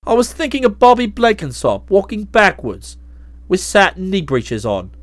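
A woman voicing a testy, meek, dyspeptic middle-aged husband who speaks in a gruff, grumbling way in an audiobook. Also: medium pitch, sing-song, normal speed, no echo, pitched below the performer's own voice, natural voice.

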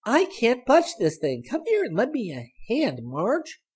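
An excitable teenage girl in a storybook, acted out by a male reader, pitched far up from his own voice. The delivery is bold and impatient, with exclamations and a slightly husky edge. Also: normal speed, exaggerated voice.

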